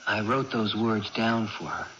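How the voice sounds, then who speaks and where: An actor playing an earnest, patient young man, gently instructing his sister in a radio drama. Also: fairly low pitch, unhurried. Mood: reassuring. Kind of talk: 1980s radio drama acting. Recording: dull, hissy old radio broadcast recording.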